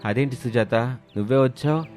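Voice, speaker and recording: Male narrator acting a scheming village moocher for a cartoon folk tale, wheedling and smooth, faint music bed. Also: mid-range voice, brisk, dark tone, natural voice. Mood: smooth, reassuring. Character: sly toddy-loving village man, smooth-talking moocher. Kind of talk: animated moral story dialogue.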